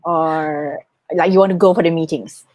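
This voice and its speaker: Low-voiced woman hosting, dry sound. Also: fairly even intonation, moderate pace, a little mellow, dry recording. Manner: chatty, encouraging, laughs easily. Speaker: host. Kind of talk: live-streamed interview.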